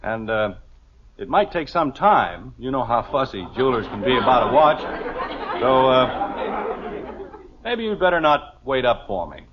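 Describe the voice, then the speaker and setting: A man's voice: medium pitch, big pitch swings, unhurried, pauses often, a faint crowd. An actor playing a middle-aged American husband and father, hesitant, stammering and blustering, in an old-time radio comedy. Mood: casually evasive. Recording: muffled, noisy vintage radio recording.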